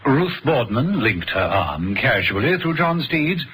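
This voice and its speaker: A man's voice: mid-range voice, big pitch swings, a little slow, bright, forceful tone, slightly rough. A man narrating a radio thriller in a dramatic scripted style. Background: music.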